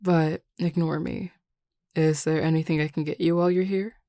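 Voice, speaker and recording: A woman voicing a composed, teasing and reassuring werewolf pack leader in an audio roleplay, measured, assured and dryly wry. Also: low voice, steady tone, warm tone, dry recording, studio quality. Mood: kind, composed. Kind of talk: intimate audio roleplay acting.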